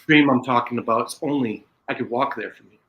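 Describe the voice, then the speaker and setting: An American man chatting casually as a guest on a podcast. He talks at a middling pitch, rambling along, often stopping and restarting mid-sentence. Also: muffled recording, slight background noise. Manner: rambling, halting, with frequent restarts. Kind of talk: casual chat.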